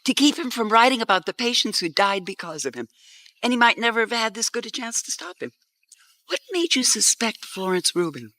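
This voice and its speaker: A woman acting a gentle, inquisitive detective's assistant in a radio mystery. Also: on the high side, big pitch swings, fairly quick, no echo. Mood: curious, relaxed. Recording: faint noise, live theatre stage.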